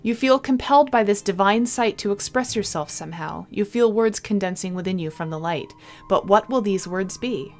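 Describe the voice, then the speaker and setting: A woman reading a visual novel aloud in an expressive storybook style, with casual playful commentary. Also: mid-range voice, moderate pace. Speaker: video game streamer. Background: game music.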